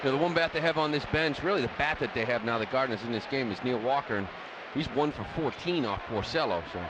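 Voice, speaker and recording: A man's voice: mid-range voice, fast talker, background noise. A man giving informal, opinionated baseball analysis in a conversational way on a live radio broadcast. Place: stadium broadcast booth. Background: ballpark ambience.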